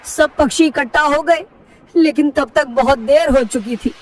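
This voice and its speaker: Woman doing a caring male parrot, urgent, emotional, high voice, distant mic, faint hiss. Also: unhurried. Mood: urgent, grieving. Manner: urgent, emotional storytelling, warning. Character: kindly male parrot, worried neighbor.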